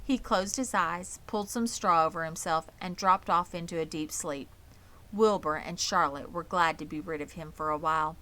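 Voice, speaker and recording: A woman's voice: on the low side, moderate pace, fairly bright tone, low-quality recording. A woman narrating a children's novel aloud as an audiobook reader. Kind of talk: scripted children's story narration.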